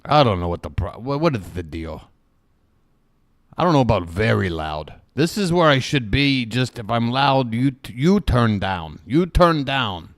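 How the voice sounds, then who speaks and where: A man hosting a live stream, rambling and self-deprecating in casual reaction commentary, with a booming voice and a slight wet lisp, swearing casually, on a desk microphone. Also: big pitch swings, unhurried, no echo, studio quality.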